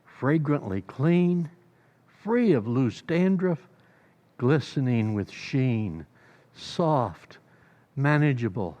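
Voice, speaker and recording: Animated American old-time radio announcer man. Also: medium pitch, drawn-out words, long pauses, lo-fi sound.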